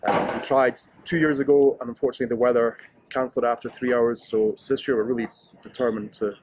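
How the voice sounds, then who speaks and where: A Scottish man in his thirties speaks in a middle-pitched, everyday voice, giving casual interview answers. He talks with enthusiasm and often restarts his sentences as he goes. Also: level tone, fast, darkish tone, slight background noise, low-quality recording. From Scotland. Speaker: interviewee, ski racer.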